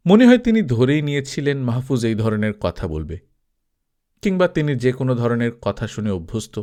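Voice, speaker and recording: A man's voice: medium pitch, big pitch swings, a little fast, warm tone. A man narrating a story as an audiobook reader, in a scripted literary style.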